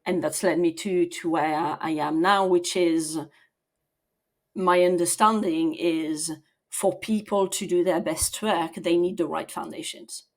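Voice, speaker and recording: A woman in her forties from the south of France, speaking as a podcast guest, thoughtful and quick to laugh. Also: lowish voice, fairly even intonation. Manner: thoughtful, laughs easily, restarts sentences. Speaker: guest, people and operations leader. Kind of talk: podcast interview.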